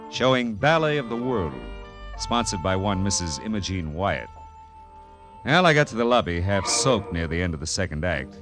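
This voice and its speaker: Hard-boiled detective, low voice, world-weary, wry, tough-talking, old radio drama with background music. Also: male voice.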